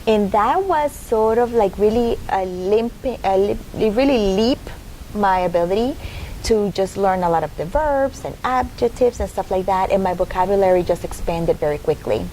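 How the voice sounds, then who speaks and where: A woman's voice: medium pitch, mellow, a little hiss or hum, rough recording. Thoughtful woman in her forties. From Venezuela. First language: Spanish. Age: 40s. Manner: thoughtful, measured, many ums and "and stuff like that". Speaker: guest. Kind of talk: casual interview.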